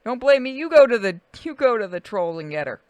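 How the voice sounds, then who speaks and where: A woman's voice: fairly high pitch, very animated, brisk, darkish tone, no echo. A woman voicing a defiant, exasperated Viking boy making excuses, as a joke during gameplay.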